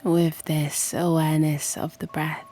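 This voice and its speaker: A woman guiding a meditation in a soothing, hushed, gently encouraging way, her soft breathy voice often close to a whisper. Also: low-pitched, level tone, a little slow, a little hiss or hum. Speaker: yoga nidra guide and channel host. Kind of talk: guided meditation. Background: soft music.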